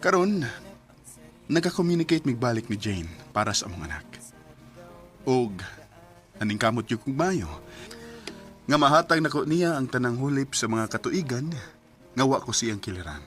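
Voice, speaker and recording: A man's voice: mid-range voice, lively intonation, normal speed, pauses often, a bit bright, soft background music. A man with a Cebuano accent acting in a Bisaya radio drama, playing the family's giver and narrating his story with emotional, pleading outbursts. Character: young man, family's giver, hurt and resentful.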